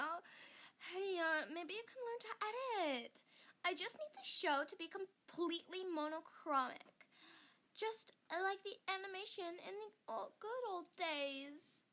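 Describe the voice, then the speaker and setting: Female performer as breezy cartoon star; persuasive, slow, bright, very high voice. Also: lively intonation, full character voice. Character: breezy, showy cartoon star giving orders.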